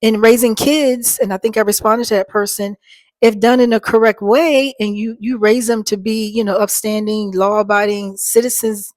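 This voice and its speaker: A woman in her fifties speaking in a soft, slightly worn voice that doesn't carry far. She rambles earnestly at a middling pitch, addressing her viewers as folks. The sound is close and dry, picked up by a small microphone. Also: dry recording. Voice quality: soft voice that does not carry. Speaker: vlogger. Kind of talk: casual talk to viewers.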